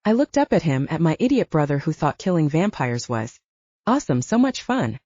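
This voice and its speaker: A woman's voice: low-pitched, big pitch swings, a little fast, a little mellow, dry recording. Dry, muffled woman narrating fiction expressively. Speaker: audiobook narrator. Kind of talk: scripted first-person fiction narration with acted dialogue.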